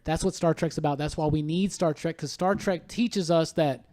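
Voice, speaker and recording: Guy hosting a podcast, voice on the high side, irreverent, emphatic, stutters over repeats. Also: normal speed, warm tone. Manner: emphatic, stammering repeats, swears freely. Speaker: podcast host. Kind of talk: casual, irreverent podcast chat.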